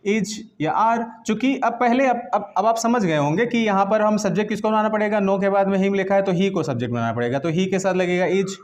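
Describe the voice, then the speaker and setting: A man with a fairly high voice and an Indian accent speaks as a grammar teacher. He explains step by step, repeats key phrases and poses short questions before answering them. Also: a little fast, hardly any pauses. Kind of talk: classroom lesson, explaining step by step in Hindi mixed with English terms.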